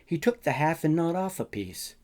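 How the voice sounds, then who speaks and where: A man reading his own short fiction as a first-person narrator, in a conversational, colloquial way with expressive emphasis. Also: a little high, normal speed.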